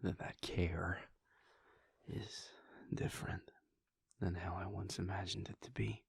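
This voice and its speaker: Brooding vampire prince, played by a man: husky hushed whisper, dry close sound. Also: low voice, flat delivery, a little slow, no room sound, heavily acted. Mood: wistful. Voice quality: gravelly, breathy. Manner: soft whispering, hesitant restarts. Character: brooding male vampire prince, conflicted and introspective. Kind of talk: audio roleplay monologue.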